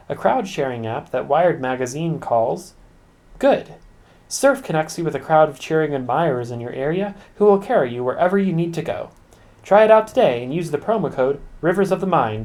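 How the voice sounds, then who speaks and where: An upbeat podcast pitchman acted out by a man delivers a parody ad read. He is earnest and salesy, with punchy phrasing and mock sincerity. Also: a little mellow, close to an everyday voice.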